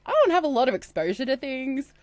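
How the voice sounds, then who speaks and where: A woman's voice: sits high, sing-song, fast talker, darkish tone, no echo. A woman with an Australian accent, co-hosting a podcast in a playful, teasing way. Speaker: podcast co-host. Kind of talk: casual chat.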